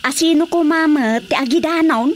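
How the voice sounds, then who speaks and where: A woman's voice: high-pitched, normal speed, a little hiss or hum, close to an everyday voice. An actress with an Ilocano accent playing a lovestruck, indignant village woman in a radio comedy drama. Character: lovestruck, indignant woman sure of her suitor.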